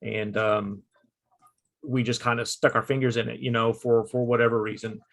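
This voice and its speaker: A man in his forties hosting a casual podcast chat, talking with halting restarts and frequent check-ins. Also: mid-range voice, little pitch movement, gravelly. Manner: conversational, repeats "okay?" to check in, halting restarts. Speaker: podcast host. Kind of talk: casual conversation.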